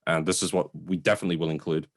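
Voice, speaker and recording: A man's voice: deep voice, fairly even intonation, racing. A man co-hosting a casual review discussion, speaking informally and opinionatedly with some swearing. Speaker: podcast co-host reviewing films.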